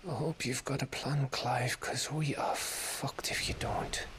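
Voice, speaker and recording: An actor playing a blunt, wary comrade in arms in a video game, talking colloquially. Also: male voice, mid-range voice, fairly even intonation, a little slow, background noise, lightly acted. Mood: urgent. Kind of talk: dramatic video game acting.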